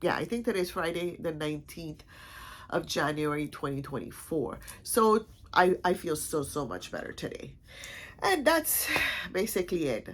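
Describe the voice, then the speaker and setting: A woman's voice: deep voice, sing-song, moderate pace. A woman vlogger with a stuffy, congested voice, chatting casually in a rambling way full of restarts. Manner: rambling, many restarts and fillers. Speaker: vlog host. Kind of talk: casual chat.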